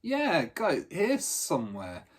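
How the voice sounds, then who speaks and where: A man's voice: a little high, huge pitch swings, slow pace, slightly rough, voice pushed up, full character voice. A man voicing a cheerful old market scrounger in a storybook, chatting in chirpy casual slang. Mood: obliging.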